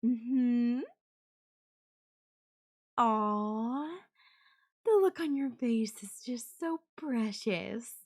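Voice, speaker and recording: A woman acts out an obsessive yandere girlfriend in an intimate audio roleplay. Her voice sits on the high side and she speaks slowly, leaving lingering pauses. She is sweetly condescending and coaxing, with menace toward the lover she keeps captive. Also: darkish tone. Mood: adoring, giddy.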